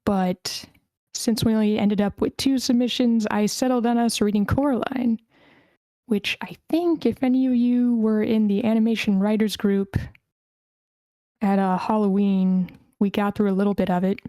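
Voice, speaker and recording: A woman with a smooth, clear voice hosts an online meeting in a relaxed, informal way. Her tone is mellow and the sound is dry and close. She is a little hesitant as she talks, and accommodating with the people taking part. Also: mid-range voice, moderate pace, no room sound. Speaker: host of an online screenplay table read. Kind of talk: casual meeting hosting. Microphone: close microphone on an online voice call.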